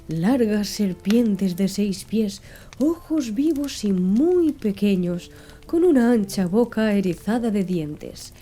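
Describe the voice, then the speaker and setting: Soft, mellow-voiced woman narrating an audiobook. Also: mid-range voice. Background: faint background music.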